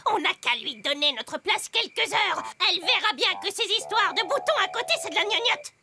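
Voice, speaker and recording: A woman's voice: extremely high pitch, very animated, fairly quick, pushed and bright, a little hiss or hum, exaggerated voice. A woman voicing an indignant, scheming hen in a children's cartoon, fussy and exclamatory. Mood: conspiring. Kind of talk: animated children's cartoon dialogue.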